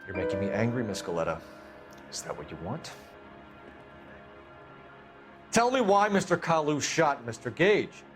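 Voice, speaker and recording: An actor playing a stern, pushy 1940s police detective, clipped and commanding, in a video game. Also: male voice, mid-range voice, very animated, brisk, over music, natural voice. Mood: angry, threatening. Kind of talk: video game dialogue acting.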